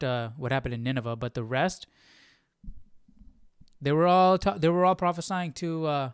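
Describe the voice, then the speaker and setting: A man hosting a casual Bible study livestream, haltingly thinking aloud as he comments. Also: medium pitch, clean tone, no room sound, clean studio sound.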